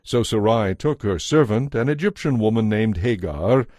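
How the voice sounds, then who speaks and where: A man narrating scripture from a script as a solemn, measured storyteller. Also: on the low side, animated, darkish tone, no echo, clean studio sound.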